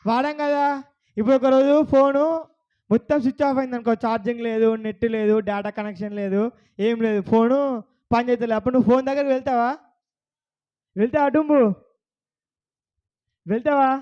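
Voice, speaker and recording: A man's voice: very high-pitched, fairly even intonation, fairly quick, long pauses, a little mellow, smooth, muffled recording. A man hosting a kids' bible puppet show, asking the children questions over and over.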